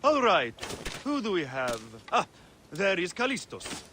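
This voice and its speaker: A man's voice: high-pitched, very animated, a little slow. A man voicing a showy, cynical gladiator boss in a video game, with a theatrical, sardonic manner. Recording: clear background noise.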